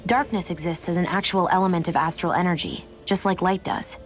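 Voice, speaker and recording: A woman as a low-voiced, haughty young fighter woman. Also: fairly even intonation, fairly quick, faint music behind, natural voice. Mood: condescending explaining. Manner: matter-of-fact, curt, superior. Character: cool, blunt young female sharpshooter, proud and condescending. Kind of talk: English-dubbed anime game voice acting.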